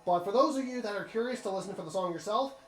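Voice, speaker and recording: A man's voice: high-pitched, fast talker. A man hosting a casual game playthrough, explaining things directly to the viewers as he comments. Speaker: host of a game cutscene playthrough. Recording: roomy echo, music behind. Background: game music.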